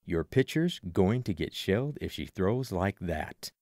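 A man voicing a pushy, fanatical ex-ballplayer in his thirties, gruff and blunt, for an audiobook. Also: sits low, sing-song, a little slow, a little mellow, dry recording, studio quality, voice pushed down. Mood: pushy, scolding. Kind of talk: audiobook character voice.

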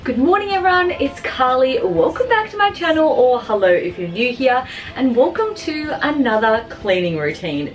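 Aussie woman in her 30s vlogging, bouncy up and down voice, mic a bit far off, soft music under it. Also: a little high. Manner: cheerful, chatty, animated. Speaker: cleaning vlogger. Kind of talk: casual vlog narration. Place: small home kitchen and laundry, indoors.

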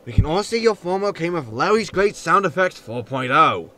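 A man playing a grumpy, grudging spaceship captain in a sci-fi comedy audio drama, gruff and exasperated. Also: fairly high pitch, big pitch swings, moderate pace, bright, forceful tone. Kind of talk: science fiction sitcom audio drama.

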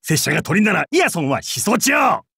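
An actor voicing a goofy, creepy, nerdy middle-aged pirate in an anime game, clownish and theatrical, with a gravelly rasp. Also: male voice, sits high, very animated, brisk, sharp tone, no echo, studio quality, exaggerated voice. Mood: mock dramatic. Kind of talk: anime game character lines.

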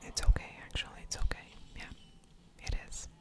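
A woman hosting a gaming ASMR session, whispering softly with mouth sounds as she comments on a card game. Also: fairly quick.

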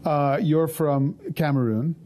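Middle-aged male panel moderator on a lapel mic, warm low tone, halting delivery with dry wit. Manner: halting, many restarts, dry humor. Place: stage of a conference room.

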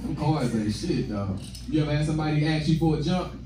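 A man's voice: fairly high pitch, brisk. A young American man performing stand-up comedy in a slangy, profane, conversational way, acting out bits of dialogue. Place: small comedy club stage, indoors. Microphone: handheld stage mic, recorded from a distant camera. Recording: echoey club room, distant mic, loud crowd noise. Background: audience laughter and room noise.

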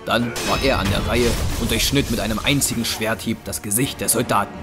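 Male narrator, fairly low voice, dramatic scripted storytelling, audio drama, clear music behind. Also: fairly even intonation, brisk.

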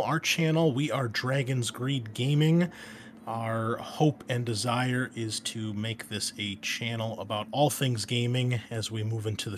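A man with a fairly low voice hosts a gaming podcast in a casual, explanatory way. He talks as a game master introducing his show, with a faint layer of noise behind him. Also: a bit bright. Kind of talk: casual scripted-outline introduction to a gaming channel.

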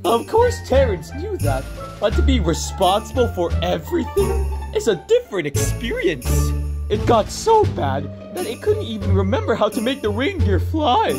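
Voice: mid-range voice, moderate pace. A performer voicing a chatty, exasperated Christmas elf who tells a tale with wry asides in a cartoon comic dub. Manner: animated, wry, digressing into asides. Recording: music laid under voice.

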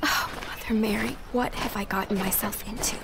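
A woman's voice: medium pitch, fairly even intonation, moderate pace, background noise, lightly acted. An actress playing a spirited, desperate young Roman noblewoman, pleading and defiant, in an audio drama. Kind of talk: full-cast audio drama acting. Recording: heavy background ambience under voice.

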